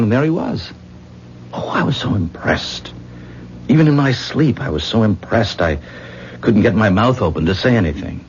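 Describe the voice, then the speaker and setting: An actor playing a modest, star-struck ordinary man in a 1970s radio drama, speaking hesitantly and earnestly. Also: sits low. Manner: hesitant, self-deprecating, earnest. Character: self-doubting, star-struck ordinary man confiding in a woman. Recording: muffled, noisy 1970s radio broadcast.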